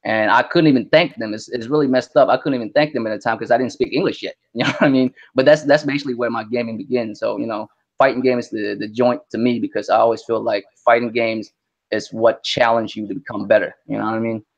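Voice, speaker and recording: A young man from Vietnam, a Vietnamese native speaker, chatting as an interview guest in an animated, slangy way. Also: medium pitch, fast, gravelly, highs cut off. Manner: animated, slangy, lots of "you know what I mean". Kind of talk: casual interview chat.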